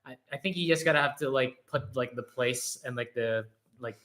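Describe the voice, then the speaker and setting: A man chatting casually as a travel vlogger on a live stream, halting and searching for words as he explains. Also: medium pitch, fairly bright tone. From born in the Philippines, grew up in Canada from age six. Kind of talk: casual chat, answering viewer questions.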